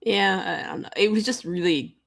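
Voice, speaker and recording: A woman's voice: medium pitch, moderate pace, vocal fry. A woman co-hosting a book discussion, chatting casually and informally. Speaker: book readalong co-host. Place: online livestream.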